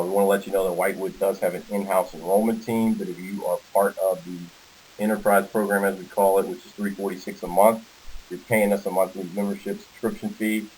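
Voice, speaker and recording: A man's voice: low voice, a little mellow, rough recording. An American man giving an informal sales presentation on a conference call, walking through slides as the presenter. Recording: hissy conference call audio.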